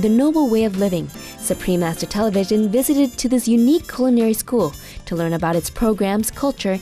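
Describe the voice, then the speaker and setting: A woman's voice: mid-range voice, warm tone. A woman reading scripted documentary narration. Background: music behind the voice.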